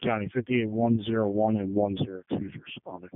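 A man's voice: lowish voice, steady tone, fairly quick, no room sound. A male firefighter making brief emergency calls over a two-way radio. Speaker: firefighter calling in. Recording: tinny dry fire radio transmission.

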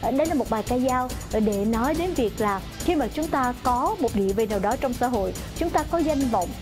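A woman's voice: a little high, lively intonation, a little fast. A middle-aged woman presenting a weather forecast in a polite, semi-scripted way in a TV studio. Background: background music.

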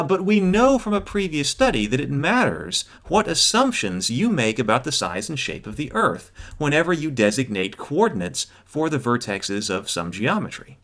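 A man's voice: on the high side, a bit bright. A man in his thirties giving an explanatory lecture as an instructor, in a didactic way with check-ins along the way. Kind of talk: explanatory lecture on GIS software.

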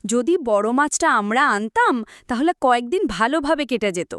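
A woman plays a demanding cartoon village wife with a high voice and swooping intonation. The sound is very dry. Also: expressive, normal speed, fairly bright tone, clear, resonant tone, no echo. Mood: scolding. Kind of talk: cartoon voice acting.

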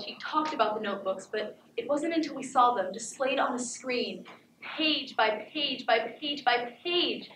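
An incredulous woman juror acted by a teenage girl speaks a halting, emphatic stage monologue in a high voice. It echoes around a theatre and is picked up from a distance. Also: a bit bright, slight background noise. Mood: incredulous, exasperated. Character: troubled, incredulous twice-divorced female juror. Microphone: far camera.

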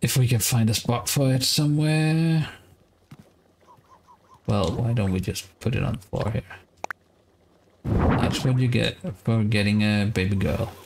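A man in his thirties with a Danish accent, giving relaxed, casual live game commentary and musing aloud as he plays. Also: medium pitch, unhurried, vocal fry, pauses often, noisy background. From Denmark. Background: guitar music.

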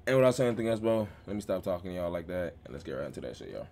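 A man's voice: low voice, sing-song, rapid-fire, gravelly, a little mellow. A young man hosting a reaction video from his bedroom, talking in loose slang and laughing often. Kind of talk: casual reaction commentary. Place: small bedroom with gaming chair.

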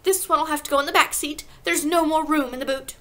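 A woman voicing an indifferent mother in a storybook, brisk and offhand in manner. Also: high-pitched, steady tone, pushed and bright, voice pushed up.

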